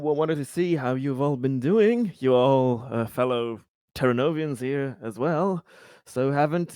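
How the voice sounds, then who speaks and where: A man playing a polite colony official in a tabletop game, speaking in a halting, formal and ingratiating way. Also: mid-range voice, a little mellow, clear, resonant tone, dry recording, studio quality, natural voice. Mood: polite, tentative. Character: polite, diplomatic colony official, eager to please.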